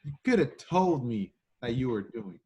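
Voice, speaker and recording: A man's voice: medium pitch, sing-song, moderate pace, mellow, breathy edge. A man playing a cocky, indignant young male secret agent with streetwise talk in an amateur table read. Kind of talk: casual at-home script table read. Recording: dull lo-fi home recording.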